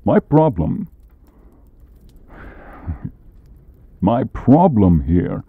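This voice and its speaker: A man playing a grumpy, exasperated demon who punishes people, curt and gruff, in an ASMR comedy roleplay. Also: lowish voice, huge pitch swings, drawn-out words, no echo, phone-quality sound, full character voice. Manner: gruff, curt, long-suffering.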